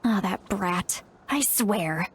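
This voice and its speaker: A woman's voice: mid-range voice, expressive, slow, a little hiss or hum. A woman voicing a grumpy, scolding female elder in a video game, with an exasperated, nagging manner. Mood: subdued, apologetic. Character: grumpy, scolding female elder who calls herself an old hag.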